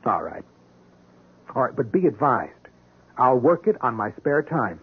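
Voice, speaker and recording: Dogged, indignant husky police detective. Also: male voice, mid-range voice, sing-song, a little slow, exaggerated voice. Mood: pleading, stubborn. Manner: insistent, pleading, emphatic. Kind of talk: 1970s radio drama acting. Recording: muffled, phone-like old radio recording.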